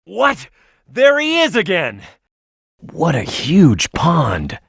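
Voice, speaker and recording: A man's voice: fairly high pitch, huge pitch swings, extremely slow, vocal fry, fairly bright tone, breathy edge, dry recording, studio quality, full character voice. A man voicing a tough, hot-headed young echidna hero in a video game, speaking emphatically and with cocky confidence. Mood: curious, alert. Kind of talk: video game character voice lines, tutorial hints and battle shouts.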